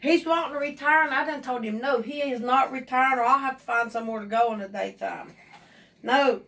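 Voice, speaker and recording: A woman's voice: high-pitched, brisk, fairly bright tone. An older Appalachian woman hosting a home cooking show, chatting and teasing in a folksy way while she cooks in her kitchen. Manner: folksy, teasing, laughs often. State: sore mouth, recovering voice. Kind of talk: casual cooking chat. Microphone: camera at a distance. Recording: distant camera mic in a kitchen.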